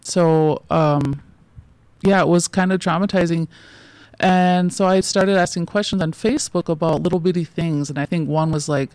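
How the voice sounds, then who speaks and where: A woman's voice: fairly low pitch, fairly even intonation, normal speed, a little mellow, clean tone, no echo. A woman in her forties, a guest in a casual interview, talking in loose, rambling sentences full of fillers and restarts and often laughing between thoughts.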